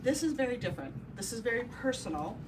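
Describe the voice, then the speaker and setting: A woman's voice: a little high, sing-song, quick pace. Distant Midwestern woman speaking to a crowd. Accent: Midwestern American. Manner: warm, personal storytelling with frequent ums. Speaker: real estate brokerage owner. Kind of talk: ribbon-cutting speech. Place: indoor office event space with a crowd. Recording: distant mic, noisy event room.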